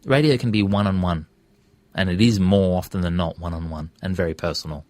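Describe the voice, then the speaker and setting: A man with a low-pitched voice and an Australian accent speaks casually as a guest in an interview. He circles around his points, hesitating and rephrasing as he goes. The sound is close and dry. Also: brisk, a little mellow, no echo. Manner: hesitant, self-correcting, discursive. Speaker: interview guest, radio presenter and podcaster.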